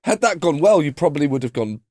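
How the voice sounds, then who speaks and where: A man in his thirties from London hosting a podcast, chatty and quick to laugh as he asks questions. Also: a little high, very animated, darkish tone, slight background noise. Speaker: podcast host. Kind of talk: casual interview chat. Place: podcast studio.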